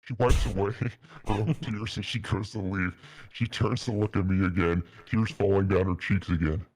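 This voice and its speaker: A man's voice: low voice, fairly even intonation, normal speed, darkish tone, no echo. A man reading fan fiction aloud on a stream, mock-earnest and melodramatic, with a casual style. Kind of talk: dramatic narration with casual asides.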